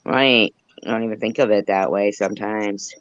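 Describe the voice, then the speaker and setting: A woman chatting casually as a panelist in a halting, rambling way. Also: a bass voice, steady tone, dry recording. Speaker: live chat panelist. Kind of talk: casual chat. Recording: dull lo-fi call audio.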